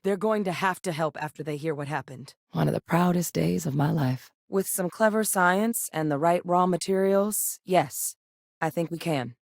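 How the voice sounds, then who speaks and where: Earnest matter-of-fact science companion in a game, low-ish voice, dead dry studio mic. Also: female voice, normal speed, gravelly, darkish tone, dry recording. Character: capable female scientist companion, steady and earnest. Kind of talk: video game voice lines.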